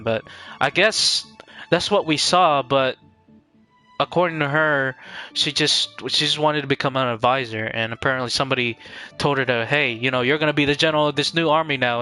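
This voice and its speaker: A man giving casual live-stream game commentary in a halting, rambling way, often repeating words as he goes. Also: mid-range voice, sharp tone.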